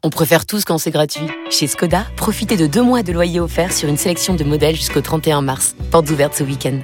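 A man's voice: fairly high pitch, a little fast. A man reading a scripted car advert, offers and small print alike, as a radio announcer. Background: music.

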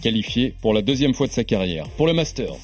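A man hosting a tennis podcast, introducing guests with quick joking asides. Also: mid-range voice, big pitch swings, darkish tone, soft background music. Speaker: podcast host. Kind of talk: casual sports podcast chat.

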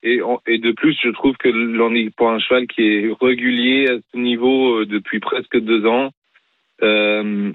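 A British man speaks over a tinny phone line, his low voice staying flat. He hesitates often and restarts his sentences. The sound is dry. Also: measured pace, no room sound. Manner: hesitant, many fillers and restarts. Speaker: horse trainer guest. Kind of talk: phone interview.

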